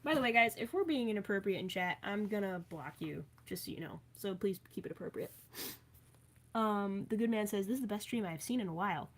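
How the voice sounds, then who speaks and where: Woman, from Maryland, live streamer, casual chat, playful, reads comments aloud, mid pitch. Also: expressive, fairly quick. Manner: chatty and playful, with many fillers and asides.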